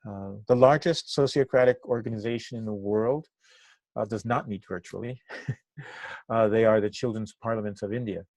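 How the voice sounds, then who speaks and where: An older man originally from Cuba, native in Spanish, speaks as an interview guest in a low voice. He thinks as he goes, breaking phrases with pauses and picking them up again. The sound is dry and close, with little room in it. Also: a little mellow, no echo. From Cuba, moved to the US at eight. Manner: halting, thoughtful phrasing with pauses mid-sentence. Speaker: interview guest, activist and trainer. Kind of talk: reflective interview answers.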